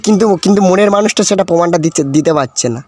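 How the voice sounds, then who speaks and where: Fast-talking young man, teasing. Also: high voice, a little mellow. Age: young adult.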